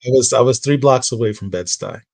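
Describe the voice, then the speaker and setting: A man's voice: medium pitch, fairly quick, vocal fry, a little mellow. A middle-aged man from Brooklyn hosting a casual interview, friendly and jokey, putting his guest at ease. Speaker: host. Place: room full of comics.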